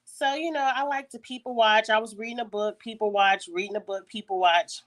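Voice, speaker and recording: Woman, raspy congested voice, clears her throat often, chatty storytelling, phone recording. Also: mid-range voice, fairly even intonation, a little fast, fairly bright tone. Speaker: YouTube host. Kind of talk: casual story time.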